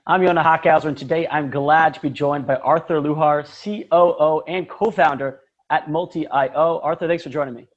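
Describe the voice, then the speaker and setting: Upbeat male interview host, fairly high voice. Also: fairly even intonation, brisk, dull audio. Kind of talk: casual interview.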